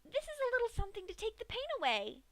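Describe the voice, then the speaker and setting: Woman voicing a sadistic cartoon pony, high and squeaky, singsong, bright tone. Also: wildly swooping pitch, fast, no room sound, heavily acted, low-quality recording. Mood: sweetly menacing. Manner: chirpy and singsong, cheerfully menacing. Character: giddy, sadistic female pink pony.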